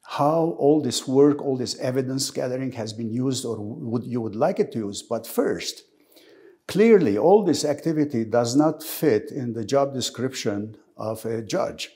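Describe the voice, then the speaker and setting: A man in a mid-pitched voice leads an interview and sets up his questions with measured, formal phrasing. He pauses now and then as he introduces his guest. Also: dark tone.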